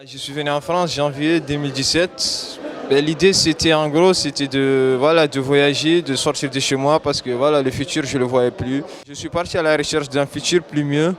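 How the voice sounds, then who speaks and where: A man's voice: a little high, steady tone, moderate pace. A man recounting his move abroad in a reflective, hesitant interview, searching for words. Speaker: migrant interviewee.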